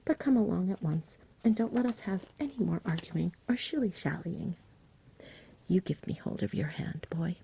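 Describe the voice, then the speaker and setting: A woman voicing a big, jolly, lazy male dragon who murmurs in short clipped phrases, in a soft whispered storybook reading. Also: fairly low pitch, expressive, normal speed, gravelly, a little hiss or hum. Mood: blustering, fussy.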